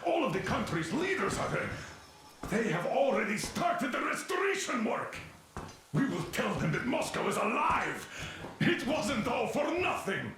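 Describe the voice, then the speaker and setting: Brief: gruff game colonel, commanding, lively pitch, echoey clanking train, noise behind. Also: male voice, unhurried, exaggerated voice. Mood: elated. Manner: stern and commanding, turning elated and hopeful. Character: gruff middle-aged male military colonel, stern leader. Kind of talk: video game cutscene acting.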